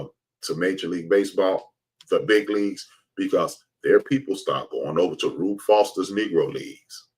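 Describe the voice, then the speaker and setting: A man's voice: low-pitched, husky. An American man giving an informal, emphatic opinion monologue to his viewers, preaching his point and repeating phrases for stress. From United States. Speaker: host of an online commentary show.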